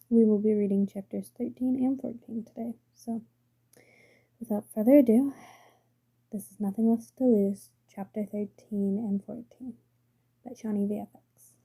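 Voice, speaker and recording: Woman, story reader, strained voice, dark tone, husky. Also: medium pitch, fairly even intonation, unhurried, long pauses. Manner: soft, careful reading with small slips. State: strained, failing voice. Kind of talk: reading a story aloud.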